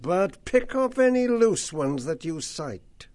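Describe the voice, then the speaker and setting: A man's voice: high-pitched, very animated, slow pace, darkish tone, voice pushed up, heavily acted. A man voicing a cold, cruel rat army leader in an audiobook, cool and menacing. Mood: coolly menacing. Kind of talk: audiobook villain voice.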